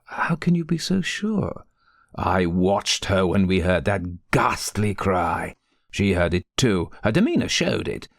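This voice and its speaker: Storybook role: indignant, insistent rector's wife performed by a man, very animated and raised in pitch, dry close sound. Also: slow pace, creaky, no echo, exaggerated voice. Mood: indignant, scornful. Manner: emphatic, goading, insistent. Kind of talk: audiobook character voice.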